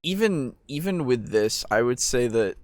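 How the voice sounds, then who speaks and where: A man hosting a casual chat about tabletop gaming, talking in a halting, rambling, agreeable way. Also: medium pitch, animated, darkish tone, dry recording. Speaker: podcast host.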